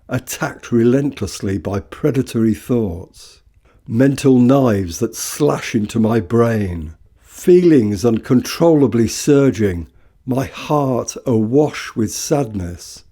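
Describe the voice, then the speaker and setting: A man giving a solemn, measured dramatic recital of war poetry in deliberate phrases. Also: mid-range voice, warm tone.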